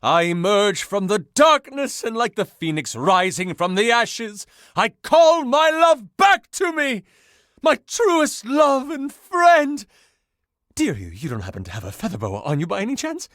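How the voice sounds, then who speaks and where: An actor playing a flamboyant, excitable washing machine in a video game, grandiose, romantic and melodramatic in delivery. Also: male voice, high voice, measured pace, no echo, studio quality, exaggerated voice. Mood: triumphant, dramatic. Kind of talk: theatrical video game voice acting.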